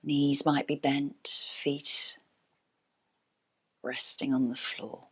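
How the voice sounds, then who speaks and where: A woman teaching restorative yoga, giving calm, unhurried guided relaxation in a soft, sometimes breathy voice. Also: rumbling low. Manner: calm, unhurried, gentle pauses. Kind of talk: slow guided relaxation instruction.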